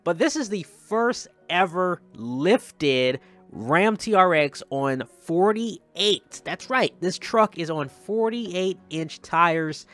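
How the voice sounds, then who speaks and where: Bright-voiced man doing casual gameplay commentary. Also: high-pitched, lively intonation, takes it slowly, soft background music. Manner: informal and rambling, repeats himself for emphasis. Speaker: video game vlogger, host.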